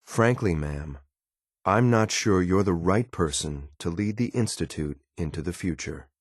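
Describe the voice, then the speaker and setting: An actor playing a loyal, disapproving android soldier in a video game, speaking in a formal, clipped, deadpan and blunt way. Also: male voice, sits low, measured pace, no room sound, studio quality, heavily acted. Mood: stern, reproachful. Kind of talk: video game companion voice lines.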